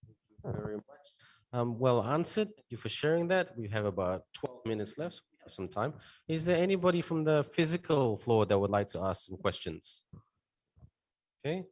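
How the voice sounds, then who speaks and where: A man moderating a forum panel, brisk and joking as he hands out questions. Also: mid-range voice, sing-song, darkish tone, no echo.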